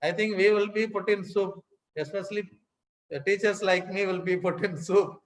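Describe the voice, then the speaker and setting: An older man with an Indian accent giving a formal lecture, emphatic and pausing often, repeating key words. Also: high-pitched, fairly even intonation, a little hiss or hum. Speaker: academic speaker. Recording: echoey hall.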